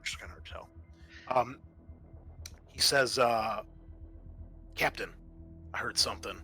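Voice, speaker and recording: A man working as game master, narrating a roleplaying session in a halting, conversational way. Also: medium pitch, bright, forceful tone, faint music behind.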